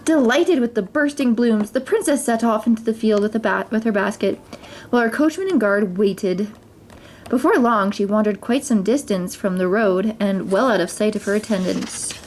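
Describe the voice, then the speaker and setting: A woman reading a children's story aloud as narrator, in an expressive, dramatized way. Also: medium pitch, normal speed, slight background noise. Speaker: mother reading stories for children.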